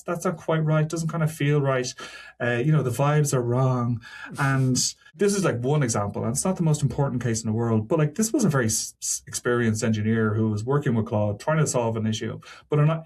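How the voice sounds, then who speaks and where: A man with a medium-pitched voice talks as a technical guest in an interview. His delivery is informal and discursive. He qualifies his points and drops in asides as he explains. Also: darkish tone. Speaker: podcast guest, senior software engineer. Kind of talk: casual technical interview talk.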